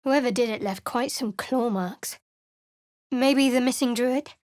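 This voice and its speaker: A woman voicing a guarded, sardonic young female cleric of a dark goddess in a fantasy video game, with a dry, secretive, teasing manner. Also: a little high, a little slow, no echo, natural voice. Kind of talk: video game companion voice lines.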